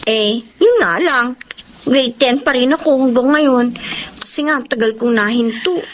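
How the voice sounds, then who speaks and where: A woman's voice: on the high side, a little slow, close to an everyday voice. A Filipino woman playing a giddy, boy-crazy young mother in a radio drama, girlish, gushing and playful. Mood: elated. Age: young adult.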